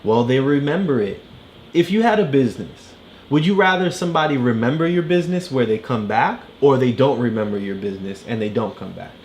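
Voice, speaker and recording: A man speaks casually to a child, patiently explaining and checking understanding with questions. His pitch moves around in a lively way, with faint noise in the background. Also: brisk. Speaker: father teaching a child.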